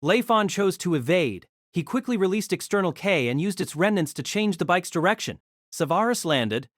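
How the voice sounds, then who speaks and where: A man's voice: high voice, big pitch swings, normal speed, no echo, studio quality. A man giving a dramatic scripted narration of an action fantasy story.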